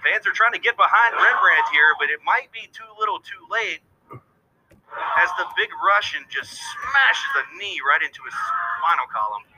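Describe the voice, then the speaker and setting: A man calling wrestling play-by-play as the show's commentator, getting excited and shouting on big moves. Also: high-pitched, normal speed, noisy background.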